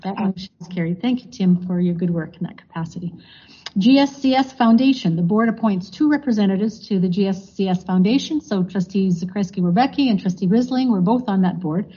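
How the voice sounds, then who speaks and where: Mellow husky woman chairing a meeting. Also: medium pitch, brisk. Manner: procedural, many fillers and thank-yous. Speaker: board chair. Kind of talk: chairing a formal board meeting. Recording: dull lo-fi meeting recording.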